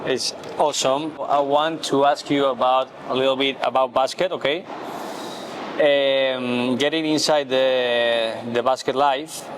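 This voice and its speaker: A man hosting a casual interview, asking questions in halting, non-native phrasing. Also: mid-range voice. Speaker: interviewer, host. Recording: clear steady background noise.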